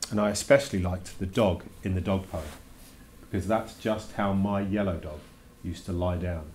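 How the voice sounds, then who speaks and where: Man playing a reluctant schoolboy, low-pitched voice, grudging, emphatic, questioning, children's storybook reading. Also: darkish tone, slight background noise, lightly acted. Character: reluctant schoolboy writing a grudging journal to his teacher. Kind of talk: dramatic read-aloud of a children's verse novel.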